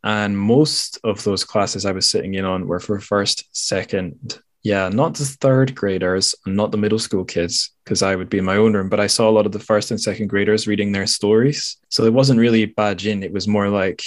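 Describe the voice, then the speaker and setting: Male podcast host from Scotland, low register, informal and chatty book talk with self-corrections. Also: fairly even intonation, normal speed, few pauses. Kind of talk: casual book discussion.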